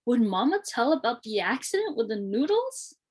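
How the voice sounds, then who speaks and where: A woman reading a children's storybook aloud in a deliberate, expressive way, with clearly separated phrases. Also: fairly high pitch. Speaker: children's read-aloud reader.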